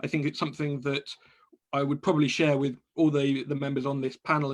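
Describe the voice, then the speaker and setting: Flat, measured English councillor, fairly high voice. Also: male voice. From England. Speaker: local councillor. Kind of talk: panel introduction on a video call.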